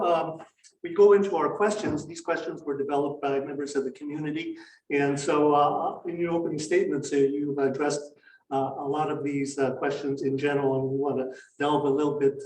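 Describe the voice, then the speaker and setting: Male forum moderator, slightly high voice, halting delivery full of fillers, distant mic, echoey room, faint background noise. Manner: hesitant, heavy with "uh" fillers.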